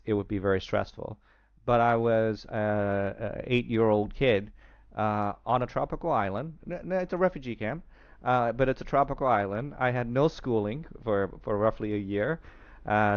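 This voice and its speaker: A man's voice: fairly low pitch, smooth, no room sound, muffled recording. A man in his fifties from Vietnam with an American accent, a podcast guest recalling his past in a hesitant way, full of fillers and restarts. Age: 50s. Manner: hesitant, many fillers and restarts, light laughs. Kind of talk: reflective storytelling interview.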